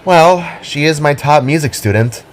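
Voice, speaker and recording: A man voicing a playful, hopeful young female teacher creature in an audio drama, gently teasing, over music. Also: on the high side, moderate pace, slight background noise, voice pushed up, natural voice. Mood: affirming. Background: background music.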